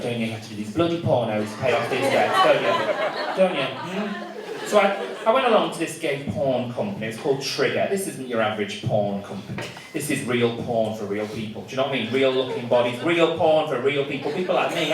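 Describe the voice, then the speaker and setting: A man doing a stand-up set, camp and cheeky, teasing the crowd. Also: medium pitch. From Manchester, England. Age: 30s. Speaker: stand-up comedian. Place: comedy club stage. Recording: echoey club room, distant, loud audience noise.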